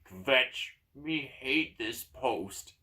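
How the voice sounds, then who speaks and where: Man as an orc guard, gruff, harsh, snarling, grumbling, fantasy storybook monster. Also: mid-range voice, expressive, drawn-out words, bright, forceful tone, exaggerated voice. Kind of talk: fantasy gamebook read aloud.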